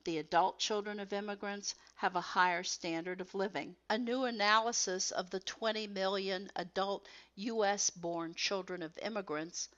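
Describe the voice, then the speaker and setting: A woman reading scripted educational text as a narrator, in a measured, carefully enunciated way. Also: medium pitch, fairly bright tone, dull audio.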